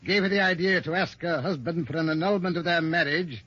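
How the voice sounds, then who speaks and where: Stern old-radio police inspector, husky. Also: male voice, fairly high pitch, brisk, pushed and bright. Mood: accusing, triumphant. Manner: authoritative, declamatory, accusing. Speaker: radio actor. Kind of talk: 1950s American radio crime drama acting. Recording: muffled, lo-fi old radio recording.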